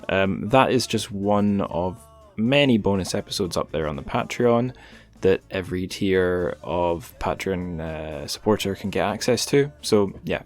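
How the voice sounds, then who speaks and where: A man hosting a podcast, talking in a relaxed, rambling way with light jokes. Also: sits low. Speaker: podcast host. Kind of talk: casual podcast chat and plugs.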